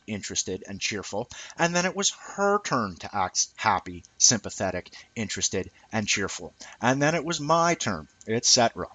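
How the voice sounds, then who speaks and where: A man reading a scripted essay aloud with deliberate, emphatic phrasing and dramatic pauses. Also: lowish voice, fairly bright tone, dry recording, low-quality recording. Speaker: reader of a written essay.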